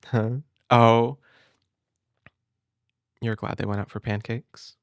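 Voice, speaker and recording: Soothing male big-brother type for bedtime-style reading: unhurried, close and dry, tender, slightly hesitant. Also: mid-range voice, level tone, no echo. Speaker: audio roleplay performer as a caring big brother. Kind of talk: intimate comfort roleplay and storybook reading.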